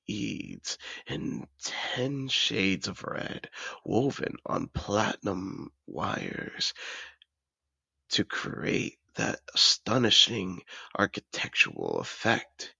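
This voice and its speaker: A suave, possessive dragon in human disguise, played by a man, speaks in a deep, heavily gravelled voice. He is courtly and seductive, lingering slowly over his words like a collector over treasure in a fantasy audio roleplay. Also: bright, forceful tone, dry recording, full character voice. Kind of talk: romantic fantasy audio roleplay, addressed to the listener.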